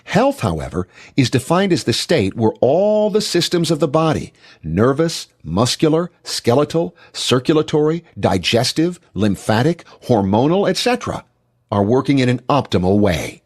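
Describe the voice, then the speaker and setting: A male narrator delivers scripted, instructional material in a middle-pitched voice. His tone has a slightly rough, husky texture. The pitch moves widely as he stresses key words with deliberate emphasis. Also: big pitch swings.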